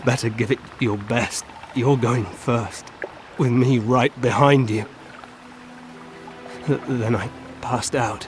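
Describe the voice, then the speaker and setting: An actor playing a mortally wounded elf seer in a video game, haunted and mournful, speaking weakly and haltingly. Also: male voice, medium pitch, steady tone, faint music behind, lightly acted. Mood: weary recounting. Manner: slow, halting, labored. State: weak from fatal wounds, as acted.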